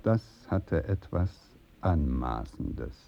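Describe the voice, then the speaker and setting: An actor playing a proud, pompous dead revolutionary who declaims from the underworld in a German radio drama. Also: male voice, rumbling low, sing-song, slow, creaky, lo-fi sound, faint music behind, full character voice. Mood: sardonic, deliberate.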